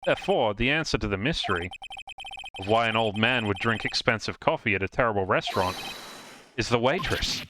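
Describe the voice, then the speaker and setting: A man voicing an earnest young male lawyer, by turns deliberate and flustered, in a live fan dub of a game. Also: fairly low pitch, animated, noisy background, close to an everyday voice. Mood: accusing. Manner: deliberate accusations, flustered hesitant asides.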